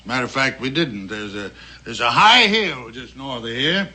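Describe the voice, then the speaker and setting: Old American frontiersman, folksy tall-tale teller, genial, piercingly bright voice, TV western. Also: medium pitch, animated, moderate pace, breathy edge, full character voice. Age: older. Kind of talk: 1960s television western acting. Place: studio set of a log tavern.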